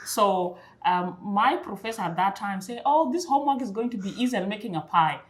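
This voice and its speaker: A woman's voice: medium pitch, expressive, fairly quick. Woman from Kenya telling a story. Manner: laughs along, repeats words as she starts. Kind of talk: conversational storytelling.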